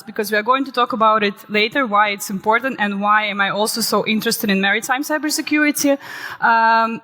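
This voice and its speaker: Bright-voiced woman speaking in a reverberant hall. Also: on the high side. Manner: lively, shifts into dramatic storytelling. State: recovering from illness, saving her voice. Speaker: conference speaker. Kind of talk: conference talk with a dramatized story. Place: conference hall.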